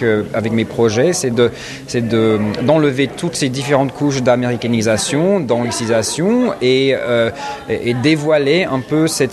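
A man from New Orleans, a guest on a radio interview, speaking thoughtfully with many hesitations. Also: mid-range voice. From Louisiana, New Orleans. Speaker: interview guest, cultural association president.

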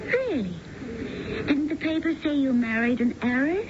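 An actress playing a sharp, skeptical mistress in a radio drama, with a wry, pointed, theatrical delivery. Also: female voice, high voice, big pitch swings, moderate pace. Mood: probing. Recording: muffled, noisy 1970s radio broadcast.

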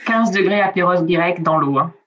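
A woman's voice: lowish voice, brisk, a crowd in the background. A middle-aged woman from southern France, whose first language is Italian, chatting casually as an interview guest with many hesitant fillers. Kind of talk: casual conversation. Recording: dull lo-fi sound.

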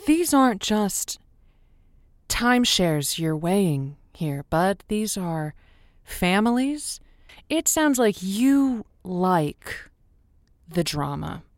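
A woman's voice: mid-range voice, sing-song, slow, dry recording, studio quality. A woman playing a blunt, sassy radio advice show host in an audio drama, with a theatrical, sardonic, wordy delivery. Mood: scolding, exasperated. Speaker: call-in radio host character.

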